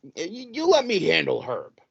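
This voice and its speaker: A man's voice: on the high side, huge pitch swings, moderate pace, creaky, breathy edge, highs cut off, exaggerated voice. A man acting in a comedic audio play as a wheedling, easily exasperated city wrestling promoter who stammers. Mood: persuasive.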